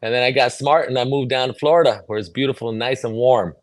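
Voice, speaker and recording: A middle-aged man from New York City by way of El Salvador gives conversational interview answers. His medium-pitched voice is earnest and explanatory. The sound is close and dry, with no room around it. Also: quick pace, no echo. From New York City, born in El Salvador. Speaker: podcast guest, mobility fitness trainer.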